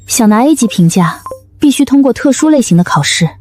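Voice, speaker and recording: A young female survivor played by a woman in an animated drama, with a mellow, slightly high voice. She is composed and persuasive, explaining herself in measured, earnest phrases. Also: soft background music, close to an everyday voice. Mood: negotiating. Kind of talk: scripted animated drama acting.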